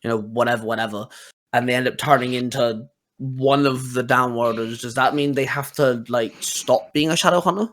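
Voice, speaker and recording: A man's voice: mid-range voice, moderate pace, fairly bright tone. A man hosting a podcast, asking questions in an informal, roundabout, conversational way. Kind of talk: casual conversational interview.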